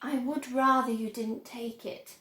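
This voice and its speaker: A woman voicing a proud, excitable male candy inventor who talks in boastful exclamations. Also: on the high side, a little slow, roomy sound. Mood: gentle.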